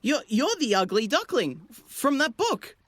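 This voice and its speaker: A woman's voice: a little high, very animated, normal speed, no room sound. Lady doing a gushy flustered stranger, stammers, voice all over the place, radio play, dry sound. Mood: gushing, flustered. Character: gushing, tactless fan, easily affronted passer-by. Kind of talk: audio fiction acting.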